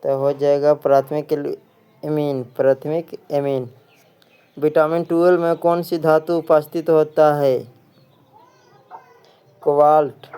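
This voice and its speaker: A man's voice: on the high side, little pitch movement, slow, long pauses, mellow, smooth. A man with an Indian accent teaching for an exam, reading quiz questions and answers aloud and often repeating them.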